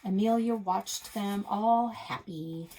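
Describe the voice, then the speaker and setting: A woman's voice: mid-range voice, slow, mellow, a little hiss or hum, rough recording. A woman reading a children's novel aloud as its first-person narrator, expressively, leaning on key words. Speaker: read-aloud reader for a public library.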